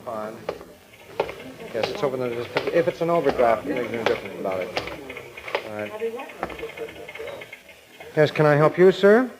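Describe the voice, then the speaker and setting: A man's voice: mid-range voice, very animated, normal speed, long pauses. A man playing a polite, meek and nervous bank cashier in an old-time radio drama. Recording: very noisy, crackly old radio recording.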